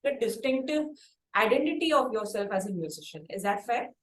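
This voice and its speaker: A woman hosting a conversational interview, asking halting, wordy questions. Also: a little high, animated. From India. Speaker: interview host. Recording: echoey room with light background noise.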